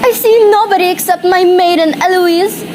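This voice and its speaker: Woman acting very high-pitched, fretful bedridden wife. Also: steady tone, moderate pace. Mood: anxious, flustered. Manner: fretful, indignant, dramatic complaining. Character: neurotic, imperious bedridden wife, frightened and demanding. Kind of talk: radio play acting. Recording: roomy, with background hiss.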